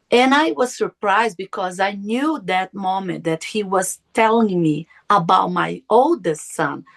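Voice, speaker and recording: A middle-aged woman whose first language is Portuguese, telling a personal story as a podcast guest, earnest and often searching for her words. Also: mid-range voice. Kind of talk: personal testimony.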